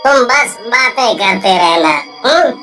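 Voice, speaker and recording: Brief: woman as a slow-drawling, bright-toned male cartoon ghost, coaxing and vengeful. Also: on the high side, expressive, full character voice. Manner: slow, coaxing, prods with questioning hums. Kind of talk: cartoon voice acting. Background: soft music.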